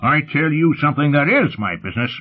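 A man's voice: a little high, lively intonation, fairly quick, pitched above the performer's own voice. A man voicing an angry, bullying old patriarch who bellows and drags out his words. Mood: domineering. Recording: muffled phone-like narrow sound.

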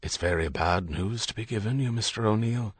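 A man's voice: lowish voice, brisk, slightly rough, no echo, studio quality. A man as a solicitous, prying priest. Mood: grave, consoling. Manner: gentle, folksy, faintly accusatory. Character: Irish priest, solicitous yet prying and blunt.

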